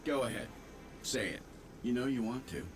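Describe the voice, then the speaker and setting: A man's voice: mid-range voice, steady tone, normal speed, music in the background, natural voice. An actor playing a cocky, witty male genius inventor who makes wry, joking quips.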